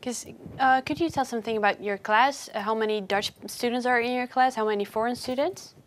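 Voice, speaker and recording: A woman's voice: on the high side, fairly even intonation, fairly quick, slight background noise. A young woman student leading an interview, asking questions and giving brief encouraging replies. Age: young adult.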